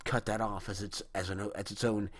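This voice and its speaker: A young man in his early twenties delivers a rambling, indignant rant, stumbling and restarting as he goes. His voice sits low and comes out husky, and his throat sounds dry and worn. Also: flat delivery, moderate pace. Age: young adult. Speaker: video host. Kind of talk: opinion monologue on video games.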